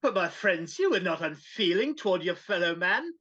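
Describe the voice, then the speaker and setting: A woman's voice: fairly low pitch, big pitch swings, normal speed, a bit bright. A woman playing a grumpy, frightened old male miser in a live dramatic reading, formal and querulous. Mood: uneasy, querulous. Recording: dull, muffled video-call audio.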